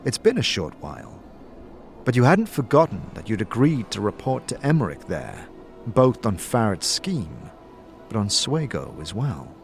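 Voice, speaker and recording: A man narrates a fantasy tale like a game master guiding a player. His voice sits a little low and moves with animated, expressive pitch. Soft music plays underneath. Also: moderate pace, gravelly. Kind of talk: scripted second-person fantasy audio drama narration.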